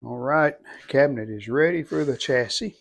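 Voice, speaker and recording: A man giving casual how-to narration as a hobbyist restorer, plain-spoken and folksy, low-key, talking in short phrases. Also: normal speed, creaky. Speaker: hobbyist restorer demonstrating a repair.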